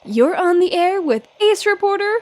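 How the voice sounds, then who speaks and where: A woman's voice: very high-pitched, expressive, darkish tone. An actress playing a feisty, easily flustered young woman reporter in a 1940s-style radio drama. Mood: breezy. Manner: brash, quick to get flustered. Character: feisty young female newspaper reporter, flustered but defiant. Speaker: reporter filling in as radio host.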